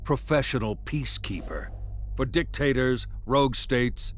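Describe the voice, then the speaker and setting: An actor playing a steely, righteous agency commander giving dry, authoritative briefings in a video game. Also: male voice, mid-range voice, expressive, a little slow, close to an everyday voice. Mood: stern, dry. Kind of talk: video game mission narration. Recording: loud game music behind.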